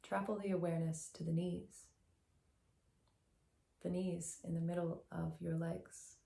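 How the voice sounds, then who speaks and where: A woman guiding a meditation as a teacher, speaking in a gentle, soothing, unhurried way with long pauses, in a slightly echoey room. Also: on the low side, little pitch movement, gravelly. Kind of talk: guided meditation, soft instructional narration.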